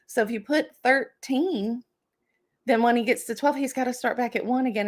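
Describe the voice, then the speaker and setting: A woman hosting a casual storytelling show, talking through folklore in a chatty, wry way with tongue-in-cheek asides. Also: a little high. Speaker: host and storyteller.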